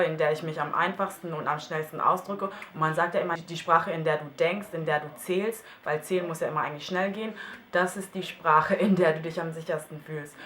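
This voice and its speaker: Low-voiced woman thinking aloud. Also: slight background noise. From Germany. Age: young adult. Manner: relaxed, thinking aloud with many fillers. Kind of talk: casual multilingual self-introduction. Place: her home in France.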